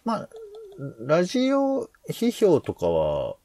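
Male co-host, wide-ranging pitch with heavy vocal fry, tentative and informal. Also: huge pitch swings, takes it slowly, dark tone, no room sound, clean studio sound. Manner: hesitant, tentative, circling around points. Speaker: podcast co-host. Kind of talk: casual discussion.

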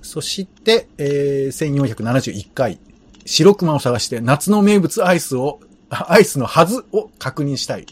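Husky man hosting a casual chat show. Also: on the high side, expressive, unhurried. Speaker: podcast co-host. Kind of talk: casual radio chat, recapping past episodes.

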